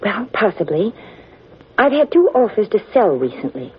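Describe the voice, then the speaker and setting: A woman's voice: medium pitch, big pitch swings, normal speed, slightly rough. A woman acting a guarded estate owner in a 1940s radio drama. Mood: anxious, forthcoming. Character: crisp, guarded lady owner of a country estate. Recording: muffled, hissy 1940s radio recording.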